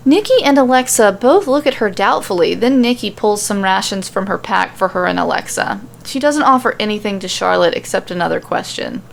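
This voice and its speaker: A woman's voice: mid-range voice, lively intonation, fairly quick, creaky, few pauses, slight background noise. A woman reading a story aloud as a narrator, in scripted storytelling style.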